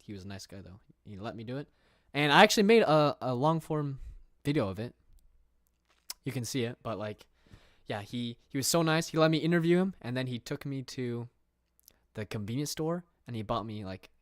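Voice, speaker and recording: A man's voice: mid-range voice, animated, moderate pace, dry recording, clean studio sound. Rambling young man chatting on stream. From England. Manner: rambling, many fillers and restarts, reads viewer questions aloud. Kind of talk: casual livestream chat. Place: room.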